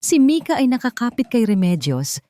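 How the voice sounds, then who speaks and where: A woman narrating a sentimental drama from a script in a deliberate, emotive way. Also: fairly high pitch, very animated, dark tone, dry recording, clean studio sound. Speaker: story narrator.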